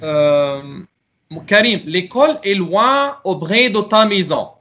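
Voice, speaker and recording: A man's voice: high voice, expressive, slow pace, bright, forceful tone, highs cut off. A middle-aged man teaching a French class over a video call, calling on students and patiently correcting their answers. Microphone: laptop mic on a video call.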